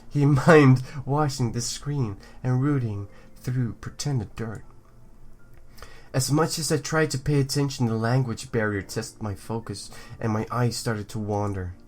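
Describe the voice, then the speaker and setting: A man's voice: fairly high pitch, fairly bright tone. A man hosting a let's play, reading story text aloud with joking asides and stumbles over words. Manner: jokey, stumbles over words and repeats them for effect. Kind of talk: playful game commentary mixed with reading visual novel text aloud.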